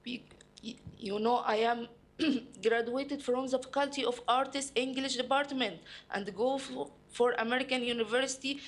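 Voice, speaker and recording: A young woman with an Egyptian accent and Arabic as her first language, speaking earnestly in halting English to an audience. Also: a little high, fairly even intonation. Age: young adult. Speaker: panel speaker. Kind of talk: informal talk to an audience.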